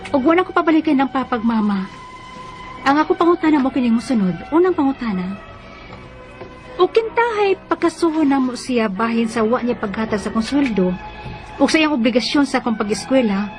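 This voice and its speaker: Wronged young female student, high-pitched pleading, Cebuano Bisaya accent, radio drama monologue over music. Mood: aggrieved. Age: young adult. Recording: music bed behind the voice.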